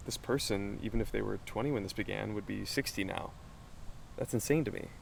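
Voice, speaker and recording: An actor playing a curious, determined American investigator in an audio drama, questioning things in a thoughtful, earnest way. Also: male voice, fairly low pitch, fairly quick, gravelly, a little hiss or hum. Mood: inquisitive. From Los Angeles, United States. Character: curious, determined young male amateur investigator. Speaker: investigative podcast host. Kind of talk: naturalistic audio drama dialogue.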